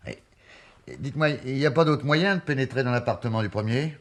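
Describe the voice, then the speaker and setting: An actor playing a tenacious investigator in a mystery play, questioning deliberately with theatrical emphasis. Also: male voice, mid-range voice, lightly acted. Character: probing, tenacious male amateur investigator. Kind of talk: French radio mystery play acting.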